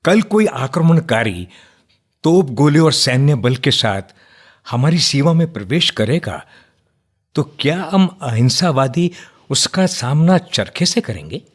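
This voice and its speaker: An actor in a radio play playing an earnest, outspoken nationalist man who debates firmly but respectfully, in deliberate, declamatory phrases. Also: a little high, sing-song, breathy edge, exaggerated voice. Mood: firm, challenging.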